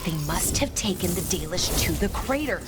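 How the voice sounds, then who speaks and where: Game companion played by a woman: spirited female ranger, protective, very animated intonation, loud score behind. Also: fairly low pitch, moderate pace, over loud music, natural voice. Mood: urgent, alert. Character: spirited female veil ranger, protective, indignant, quick to act. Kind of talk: video game voice acting.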